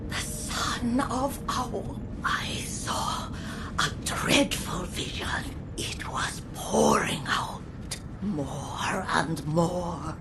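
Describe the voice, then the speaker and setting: Creaky, breathy, haunted woman. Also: lowish voice, lively intonation, noisy background, exaggerated voice. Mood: horrified, faint. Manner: slow, halting, repetitive. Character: frightened, dying woman haunted by a dreadful vision. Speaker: video game character. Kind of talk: dramatic game cutscene acting.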